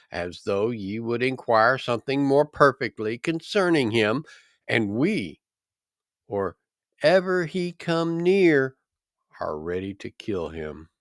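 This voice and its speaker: A man reading scripture aloud in a solemn, measured and declamatory way. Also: mid-range voice, fairly bright tone, no room sound, studio quality. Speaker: scripture reader.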